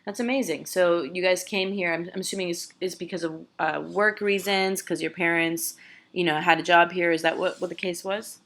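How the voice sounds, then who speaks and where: A woman's voice: lowish voice, fairly even intonation, normal speed, fairly bright tone. A woman hosting a casual interview, eager and encouraging, often restarting her words. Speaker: podcast host.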